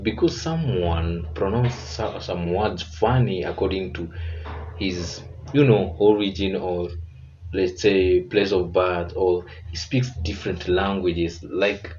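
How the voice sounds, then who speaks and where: A man's voice: low voice, a little mellow, lo-fi sound, a little hiss or hum. A Kenyan man hosting a reaction video, earnest and reflective as he comments.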